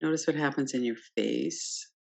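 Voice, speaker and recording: Woman, meditation teacher, gravelly low-pitched voice, calm, gentle, unhurried pauses, unscripted guidance. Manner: calm, gentle, pausing between short phrases. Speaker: yoga nidra meditation teacher. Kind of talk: guided meditation, unscripted instruction.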